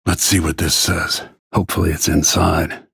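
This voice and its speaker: An actor playing a grim, vengeful middle-aged assassin in a video game, brooding in a gravelly, husky voice with low-key menace. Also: male voice, level tone, normal speed, a bit bright, no echo, full character voice. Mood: brooding, resolute. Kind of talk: dramatic game voice lines, brooding inner monologue.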